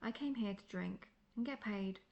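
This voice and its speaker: A woman playing a seasoned, world-weary female monster hunter in a fantasy audio roleplay, speaking in a measured, formal, dryly condescending way. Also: mid-range voice, creaky, lo-fi sound, lightly acted. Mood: cool, warning.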